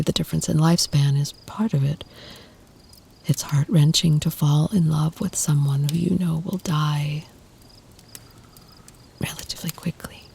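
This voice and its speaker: Soft half-whispered ancient vampire lady acted by a woman, creaky voice, tender, protective, formal, ASMR roleplay, faint background noise. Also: deep voice. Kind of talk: ASMR roleplay, intimate monologue to the listener.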